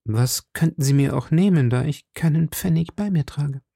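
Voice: medium pitch, moderate pace, creaky, mellow, slightly rough, no room sound, studio quality, voice pushed up. A narrator voicing an earnest, anxious young woman with secrets, speaking formally and pleadingly in an audiobook. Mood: composed, guarded. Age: young adult. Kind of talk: audiobook character dialogue.

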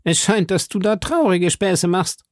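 A man voicing a boisterous, hot-headed musketeer with a loud, exclaiming manner in an audiobook story. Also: high voice, expressive, unhurried, no echo, studio quality, voice pushed up. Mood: indignant.

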